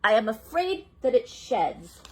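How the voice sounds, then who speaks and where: A shy, polite man visiting from abroad speaks in careful, formal sentences, as a woman reads him. The voice stays slightly breathy and a bit raised. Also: a little high, sing-song, a little slow, pitched above the performer's own voice. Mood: apologetic.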